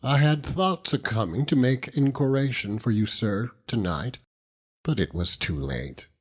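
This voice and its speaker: A man's voice: medium pitch, lively intonation, unhurried, breathy edge. A man voicing a humble, devoted old fisherman with speech, earnest and plain-spoken, for an audiobook. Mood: warm greeting. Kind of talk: audiobook character reading.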